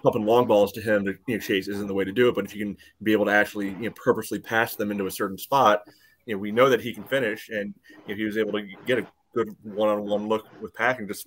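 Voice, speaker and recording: Low-voiced man rambling through sports analysis. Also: slight background noise, rough recording. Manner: rambling, peppered with "you know". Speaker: podcast co-host.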